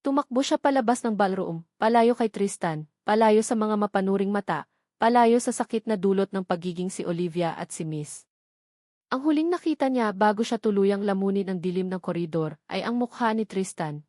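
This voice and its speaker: A woman narrates a romantic drama story, telling it in a steady, dramatic way. Her voice sits at a middle pitch for a woman. The recording is dry and close, with no room echo.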